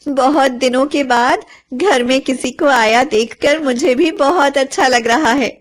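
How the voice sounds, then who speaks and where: A woman voicing a lonely, caring and anxious village mother, plaintive and expressive, in an animated moral story. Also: sits high, unhurried. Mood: warm.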